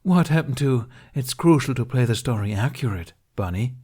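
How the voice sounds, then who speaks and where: Guy doing a cheeky young dude playing knight, sarcastic, a bit raised in pitch, storybook character. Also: expressive, moderate pace, a little mellow, slightly rough. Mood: teasing. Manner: mocking, sarcastic. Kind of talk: character voice in fan fiction reading.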